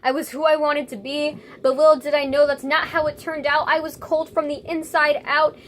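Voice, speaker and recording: A woman's voice: high-pitched, flat delivery, normal speed, a bit bright, slight background noise. A young woman performing spoken-word slam poetry with an earnest, dramatic and rhythmic delivery. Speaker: slam poet.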